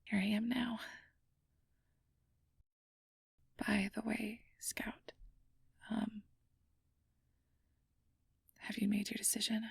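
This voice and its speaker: A woman playing a nervous, earnest young fantasy researcher in an ASMR roleplay, rambling and self-doubting, with soft breathy delivery. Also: mid-range voice, flat delivery, slow pace, no room sound, exaggerated voice. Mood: hesitant, quiet. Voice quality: breathy, often dropping to a soft whisper. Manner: flustered, stammering, over-explaining, self-doubting.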